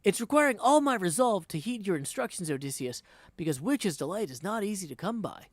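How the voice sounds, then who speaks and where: A composed young goddess from a video game, performed by a man, speaking in a raised voice. The wording is formal and gracious, delivered with measured poise and varied intonation. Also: sits high, sing-song, dry recording, pitched above the performer's own voice. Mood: composed, dutiful.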